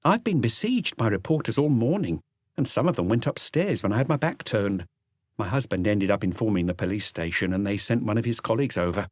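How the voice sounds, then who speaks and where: A man's voice: on the low side, expressive, brisk, a little mellow, dry recording, clean studio sound. A man voicing a young, affable female concierge in an audiobook, polite, chatty and matter-of-fact. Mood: curious, harried. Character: young, affable woman concierge, helpful and soft-spoken. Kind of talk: audiobook character dialogue.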